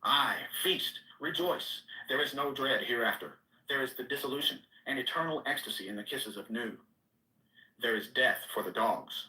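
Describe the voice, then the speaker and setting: A man's voice: mid-range voice, pushed and bright. A man giving a solemn, declamatory reading of archaic mystical scripture. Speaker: reader. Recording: echoey room, distant mic.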